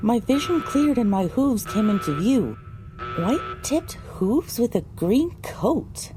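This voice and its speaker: A woman reading scripted first-person audiobook narration in a measured, dramatic storytelling manner. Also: a little high, sing-song. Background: music.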